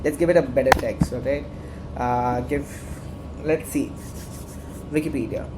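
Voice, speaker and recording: A man's voice: mid-range voice, measured pace, heavy background noise. A man with an Indian accent leading a casual screen-share workshop, talking conversationally and repeating phrases for emphasis. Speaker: workshop instructor.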